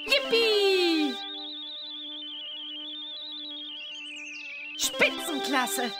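High, lively young witch girl. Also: full character voice. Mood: gleeful. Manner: bright, exclamatory, helpful. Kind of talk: children's cartoon game voice acting.

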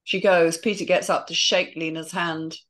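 A woman's voice: fairly low pitch, fairly even intonation, moderate pace, fairly bright tone. A woman reading out scene headings and stage directions in a script read-through over a video call.

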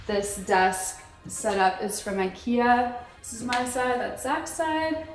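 A woman's voice: on the high side, expressive, slow pace. A woman in her twenties with an American accent, chatting casually and informally in a giggly way as a vlogger. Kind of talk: casual house tour vlog chat. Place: inside a bright open-plan home. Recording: roomy echo, music underneath.

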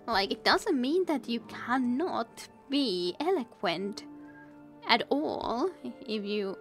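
Slow, high-voiced young woman streamer. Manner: chatty, laughs often, teasing. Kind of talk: casual game stream chat, reading dialogue aloud.

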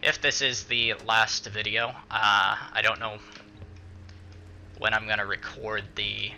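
A man's voice: fairly low pitch, little pitch movement, a little slow, vocal fry, pushed and bright. A man giving casual let's-play commentary, muttering to himself and swearing mildly as he plays. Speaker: gaming video host.

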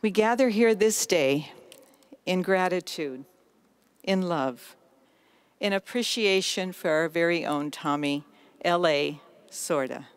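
Older lady reading a solemn prayer, real slow and clear, like a nun at a memorial. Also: fairly low pitch, dry recording. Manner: solemn, measured. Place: outdoors in an empty baseball stadium. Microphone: microphone on a stand.

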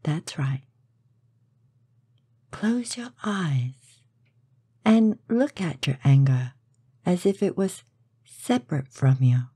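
A woman leads a guided meditation in a low, very mellow and dark voice. Her soft, breathy delivery is slow and soothing, with measured pauses between phrases. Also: animated, dry recording, studio quality.

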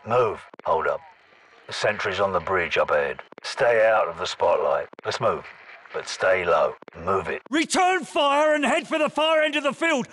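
An actor playing a gruff, commanding British special forces captain in a video game, giving terse, urgent combat orders. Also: male voice, lowish voice, moderate pace, a bit bright, distant mic. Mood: low, controlled, then urgent shouting. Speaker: military squad leader in a video game. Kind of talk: video game battle chatter.

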